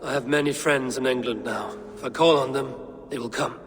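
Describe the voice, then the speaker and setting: An actor playing a loyal, resolute Viking clan leader in a video game, speaking in an earnest, commanding manner. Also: male voice, medium pitch, brisk, lightly acted. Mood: grave, determined. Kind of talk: dramatic video game acting. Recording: long reverb, very loud background noise.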